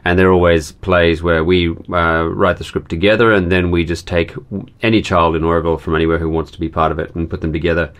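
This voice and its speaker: Deep-voiced hesitant man from Australia. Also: flat delivery, fairly bright tone. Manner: hesitant, many pauses and fillers. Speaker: children's theatre workshop leader. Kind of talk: casual personal account.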